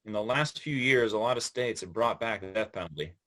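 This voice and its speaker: An actor playing an earnest young defense lawyer who talks with small stammers. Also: male voice, lowish voice, animated, a little fast, fairly bright tone. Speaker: actor in a play reading. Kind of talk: stage play reading.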